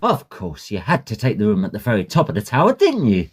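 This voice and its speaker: Victorian student rower, young, British, gossipy. Very expressive, clipped period drama delivery, faint background noise. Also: male voice, fairly low pitch, fast. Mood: hearty. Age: young adult. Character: hearty, opinionated, gossipy Victorian student oarsman. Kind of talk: audio drama acting.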